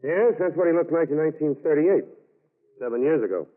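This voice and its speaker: A man acting a methodical, confident FBI agent with a measured, matter-of-fact manner in a 1940s radio drama. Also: on the high side, animated, lightly acted. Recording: tinny, narrow-band 1940s radio recording.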